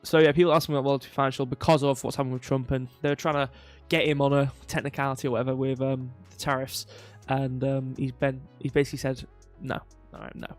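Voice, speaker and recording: A man's voice: medium pitch, little pitch movement, fast, few pauses, faint music behind. A man with a slightly stuffy, nasal voice hosting a casual livestream of market commentary, digressing with self-deprecating humour, close to the microphone. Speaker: crypto livestream host.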